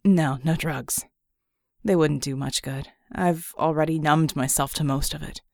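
A woman voicing a gruff, wounded male fighter who speaks low and sardonic. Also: fairly even intonation, measured pace, darkish tone, no room sound, clean studio sound. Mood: weary.

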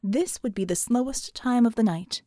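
A woman narrating a scripted audiobook novel in a measured, expressive storytelling manner. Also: a little high, no room sound, studio quality.

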